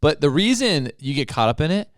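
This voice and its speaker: Smooth-voiced male podcast co-host. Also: a little high, sing-song, a little fast, dry recording, clean studio sound. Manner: conversational, laughs easily, slips into quoted voices. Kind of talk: casual chat.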